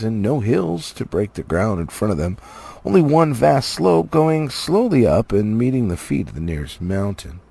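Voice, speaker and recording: Highly animated, gravelly male storyteller. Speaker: amateur audiobook reader. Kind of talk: expressive storybook narration.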